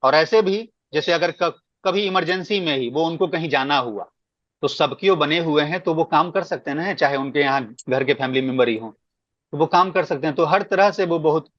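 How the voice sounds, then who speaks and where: Man, emphatic meeting explainer, slightly high pitch, lo-fi dry conference audio. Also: normal speed, fairly bright tone, no echo. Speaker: meeting speaker giving guidance. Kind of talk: explanatory work meeting talk. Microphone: online conference call. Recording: dull, lo-fi conference call.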